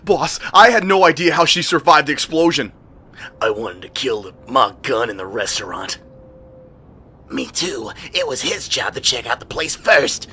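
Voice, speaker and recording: A man's voice: medium pitch, lively intonation, moderate pace, sharp tone, slight background noise. An actor playing a frightened, stammering henchman pleading with his boss in a motion comic. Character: panicky, pleading male gangland henchman.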